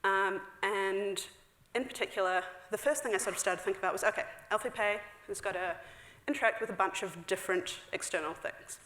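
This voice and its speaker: A woman's voice: medium pitch, a bit bright. Chatty woman speaker in an echoey hall. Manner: chatty, frequent fillers, quick asides with laughs. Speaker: conference speaker. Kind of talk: technical conference talk. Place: large dark theater stage.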